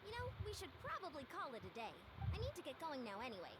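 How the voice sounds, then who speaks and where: An actress voicing an energetic young female coach in a video game, brisk, blunt and no-nonsense. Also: high voice, heavy background noise. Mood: brisk, friendly. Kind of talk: animated video game dialogue.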